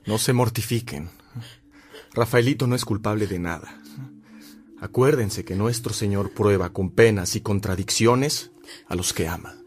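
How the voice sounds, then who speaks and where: A man acts a devout, sorrowful messenger in a radio drama. His voice is fairly deep and solemn, each phrase deliberate. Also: close to an everyday voice. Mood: consoling. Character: sorrowful, devout male bearer of bad news. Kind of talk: Spanish-language radio drama acting.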